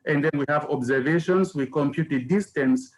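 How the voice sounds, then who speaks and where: A man's voice: fairly high pitch, normal speed, poor audio. A man in his fifties, a scientist introducing himself in a webinar over a video call, explaining in a good-humored way. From Cameroon. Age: 50s. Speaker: scientist panelist.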